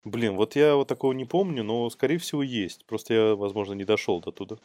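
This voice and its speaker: A man's voice: on the low side, fairly quick, darkish tone, dry recording. A man co-hosting a news commentary show, reading stories in an informal, amused way.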